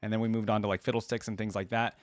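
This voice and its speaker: A man's voice: lowish voice, little pitch movement, racing, no room sound. A man hosting a reaction video, switching between casual commentary and reading aloud.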